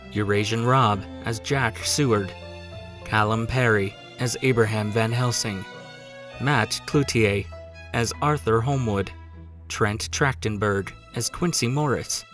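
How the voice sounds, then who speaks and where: Mid-pitched male announcer over music. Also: a bit bright. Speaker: podcast announcer. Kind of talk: scripted outro narration.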